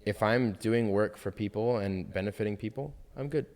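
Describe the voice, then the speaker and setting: A man's voice: fairly low pitch, moderate pace, a little mellow, big-room sound. A young man co-hosting a casual podcast, talking earnestly and reflectively, sometimes acting out what other people say. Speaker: podcast co-host.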